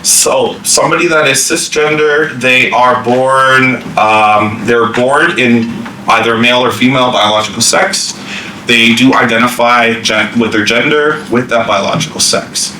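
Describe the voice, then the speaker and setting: A man presents a staff training session in a casual, unscripted way, full of fillers and restarts. His voice is bright and clear, heard through a distant mic. The meeting room echoes around him and a faint hiss sits underneath. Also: mid-range voice, steady tone, a little slow. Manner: informal, many fillers and restarts. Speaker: workshop presenter.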